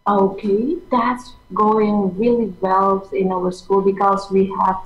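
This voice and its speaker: A woman, an English teacher, answering interview questions earnestly over a video call, sometimes pausing to search for words. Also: medium pitch, level tone, roomy sound, dull audio.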